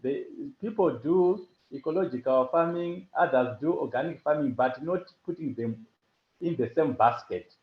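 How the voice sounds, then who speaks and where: A man presenting a farming project and answering questions on a call, pausing often with fillers and restarts. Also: on the high side. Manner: halting, with many fillers and restarts. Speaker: hackathon presenter. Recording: echoey lo-fi call audio.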